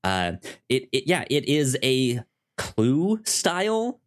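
A man co-hosting a casual review podcast, talking haltingly and often repeating the starts of his words. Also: medium pitch, sing-song. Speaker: podcast co-host.

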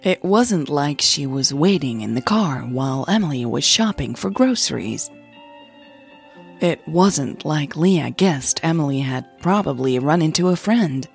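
A woman narrating a scripted romantic audio drama in a soft, measured storytelling manner. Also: deep voice, animated, creaky, soft background music. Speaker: narrator and podcast host.

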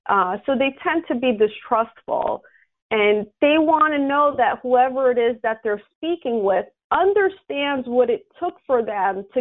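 A woman from the United States explaining things earnestly, with examples, as an advisor being interviewed. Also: fairly high pitch. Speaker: interview guest, estate planning and tax advisor. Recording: thin, narrow phone-line sound.

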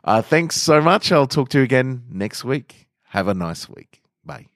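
A man hosting a podcast and reading literary stories in a measured, deliberate way. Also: mid-range voice, very animated. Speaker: podcast host and story reader. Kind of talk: literary story narration and podcast outro.

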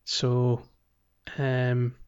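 A man's voice: mid-range voice, monotone, drawn-out words, a little mellow, smooth. A man co-hosting a casual gaming podcast, who talks in a chatty way with lots of ums and restarts. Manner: chatty, many ums and restarts, laughs easily. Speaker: podcast co-host. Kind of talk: casual game-talk podcast. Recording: dull lo-fi podcast audio.

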